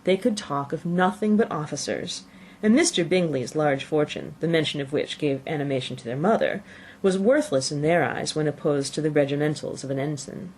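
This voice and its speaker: A woman's voice: low voice, normal speed, darkish tone, slight background noise. A woman reading a classic novel aloud as scripted audiobook narration. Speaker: audiobook reader.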